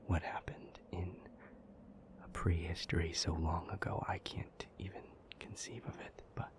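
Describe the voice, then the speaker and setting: A man playing a troubled, powerful demon in an intimate audio roleplay, speaking breathily in deliberate, halting phrases. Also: low-pitched, level tone. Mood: brooding, doubtful. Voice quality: breathy, half-whispered. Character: troubled, powerful male demon confiding gravely in a lover.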